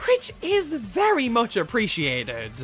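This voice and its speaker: Animated, bright-voiced woman reviewer. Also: a little high, takes it slowly, faint music behind. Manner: theatrical, exasperated emphasis, swearing. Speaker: video essay host. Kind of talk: scripted movie review commentary.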